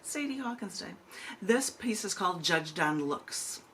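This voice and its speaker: A woman hosting a virtual open mic, reading her poems and introducing them casually, with deliberate, emphatic phrasing and drawn-out pauses. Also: mid-range voice, expressive, fairly bright tone. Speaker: open mic host and poet. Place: virtual open mic over video call.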